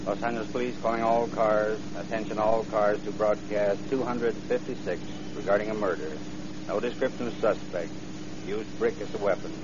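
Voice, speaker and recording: Actor as male dispatcher, monotone and clipped, 1930s radio, heavy noise over muffled sound. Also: fairly low pitch, normal speed, natural voice. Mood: flat, official. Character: flat, official male police radio dispatcher. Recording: dull, very noisy old radio recording.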